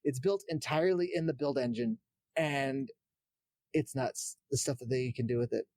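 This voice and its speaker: A man's voice: medium pitch, moderate pace, a little mellow, no room sound, clean studio sound. A man on a podcast panel, chatting casually and explaining things like an enthusiast.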